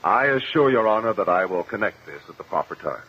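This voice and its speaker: A shrewd, probing courtroom attorney in a 1950s radio drama. His low voice moves through a lively range, formal and courteous but insistent. The sound is dull, lo-fi vintage radio. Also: husky. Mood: courteous, assured. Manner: measured, formal, insistent questioning.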